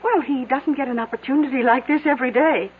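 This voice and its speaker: A woman's voice: high voice, fast, husky. An actress playing a bold, sly small-town American woman, politely accusing, in a radio drama. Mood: folksy, teasing. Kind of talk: 1970s radio drama acting. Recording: old tinny narrow-band radio, faint music.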